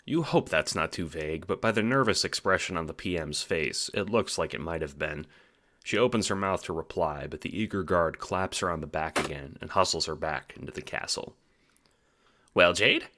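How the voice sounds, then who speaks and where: Low bright guy doing dramatic second person story narration, really animated. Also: fairly quick. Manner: animated storytelling with casual swearing. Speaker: narrator and producer of an audio drama.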